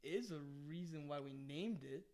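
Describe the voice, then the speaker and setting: A man's voice: fairly high pitch, animated, moderate pace, darkish tone. A young man in a band, answering casually as an interview guest. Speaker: band member being interviewed.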